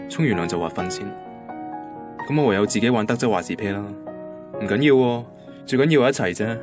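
Music plays behind an actor playing a young Hong Kong boyfriend in a Cantonese radio drama. His voice sits at an ordinary male pitch, and he talks plainly and earnestly, like a devoted man eager to settle down. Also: natural voice. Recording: music bed under the voice.

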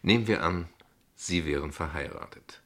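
An actor playing a guarded, ironic father in a radio play, speaking in measured, eloquent, formal sentences. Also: low-pitched, a bit bright. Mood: explaining, measured. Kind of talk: radio play dialogue acting.

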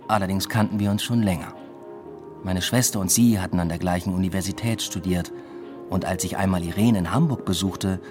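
A man's voice: deep voice, close to an everyday voice. An actor playing a weary, introspective male academic, narrating a radio drama in a reflective, understated way. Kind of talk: radio drama first-person narration and dialogue.

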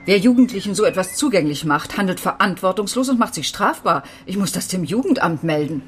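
A woman acting a high-strung teacher around forty in a radio drama. Her pitch swings widely and she sounds clipped, agitated and easily flustered. Clear noise sits behind the voice. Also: expressive, brisk, lightly acted. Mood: indignant. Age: middle-aged.

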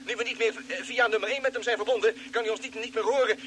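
A man's voice: extremely high pitch, fairly even intonation, rapid-fire. An actor playing a jittery, chatty, down-to-earth spaceship crewman who stammers and repeats himself in a Dutch radio drama. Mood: flustered. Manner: stammering, repeats words when flustered. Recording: noisy old radio drama recording.

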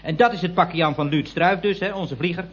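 An actor playing an earnest, deferential employee who explains things pedantically and hesitantly in a radio comedy. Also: male voice, a little high, brisk, breathy edge. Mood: eager explaining. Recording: muffled, noisy old radio recording.

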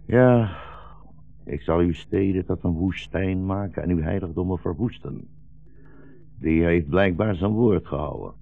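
An actor playing a weary, bitter old blind rabbi fleeing war, solemn and brooding, in a 1960s Dutch radio drama. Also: male voice, sits low, a little slow, creaky, faint music behind, full character voice. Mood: grave. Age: older. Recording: dull, muffled 1960s radio recording.

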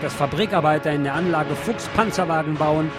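A man narrating a scripted news report as a reader, with loud music behind him. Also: a little high, brisk.

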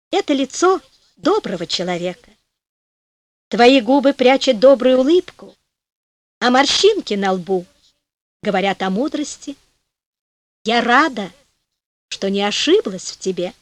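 A woman's voice: high-pitched, very animated, a little slow, pauses often, no echo. Woman voicing a friendly young lake fairy. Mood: tender. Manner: friendly, gentle. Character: young beautiful lake fairy, warm and kind.

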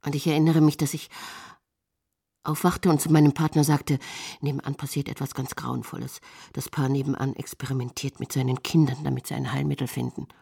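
An actress playing a haunted woman confessing uncertain memories in an audio drama monologue, halting and intense. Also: a bass voice, level tone, gravelly, mellow, slightly rough, full character voice. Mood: hushed, uneasy. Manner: halting, intense, self-correcting.

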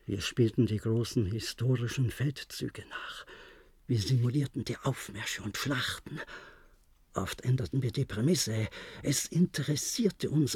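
An actor in a German radio play, playing an older, resigned former diplomat who reminisces in a measured, formal way. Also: male voice, mid-range voice, a little mellow, breathy edge, no room sound. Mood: nostalgic, reflective. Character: resigned, reflective former diplomat, aging bunker survivor.

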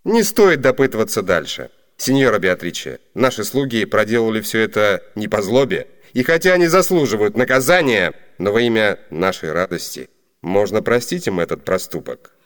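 An actor playing an ardent, lordly gentleman and master in a classic comedy radio play, with polished, declamatory delivery. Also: lowish voice, big pitch swings, moderate pace. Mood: courteous. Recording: reverberant 1980s Soviet radio studio.

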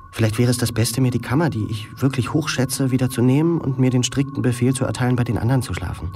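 An actor playing an effusive young pupil in a radio drama, speaking in a florid, hesitant, imploring way. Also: male voice, mid-range voice, vocal fry, mellow, slight background noise, close to an everyday voice. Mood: hesitant, contrite. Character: pleading, effusive, insolent young male pupil.

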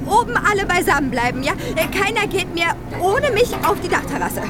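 An actress playing a bossy, impatient female teacher in a radio drama, briskly ordering children about and talking down to them. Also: very high voice, sing-song, over a noisy crowd, very noisy. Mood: brisk, commanding. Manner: brisk, scolding, talks down to children.

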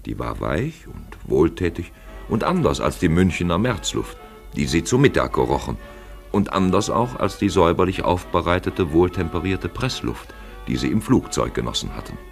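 A man narrating a literary radio play with gravelly, husky, measured and dramatic storytelling. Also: deep voice, sing-song, soft background music.

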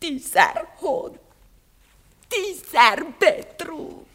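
A spurned young mistress in a radio play, passionate and vengeful. Her voice is high and swoops widely, with slow, deliberate phrasing. The delivery is theatrical and intense. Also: sing-song, bright, forceful tone, full character voice. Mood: distraught, indignant. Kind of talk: German radio drama acting.

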